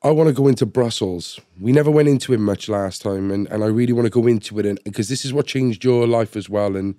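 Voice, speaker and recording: A man hosting a casual interview, feeling his way haltingly through his questions. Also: medium pitch, mellow, no room sound, clean studio sound. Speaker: podcast host.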